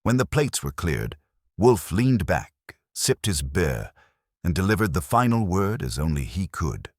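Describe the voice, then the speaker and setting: A very deep male voice narrates a detective mystery, with a husky grain to it. The delivery is a scripted reading with lively swings in pitch, recorded close and dry. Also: measured pace, dry recording. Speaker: audiobook narrator.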